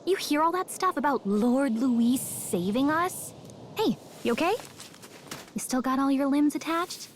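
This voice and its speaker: An actress voicing a tiny, feisty fairy companion in a fantasy video game, chatty and cheeky. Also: female voice, high voice, expressive, unhurried, slight background noise. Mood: concerned, wry.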